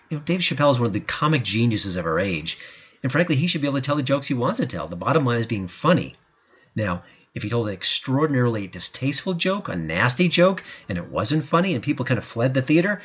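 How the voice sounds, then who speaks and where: A man hosting a podcast, giving emphatic, opinionated commentary in a lively way. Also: mid-range voice, breathy edge. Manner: emphatic, lively delivery with frequent "frankly". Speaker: podcast host. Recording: dull lo-fi podcast audio.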